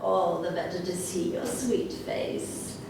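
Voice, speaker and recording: A woman's voice: takes it slowly, creaky, a little mellow. Woman putting on a sly werewolf in an echoey room. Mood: falsely tender. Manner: coaxing, bossy, falsely kindly. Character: sly predatory male werewolf posing as a grandmother. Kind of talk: live folktale storytelling. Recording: echoey room, distant mic, faint rumbling noise.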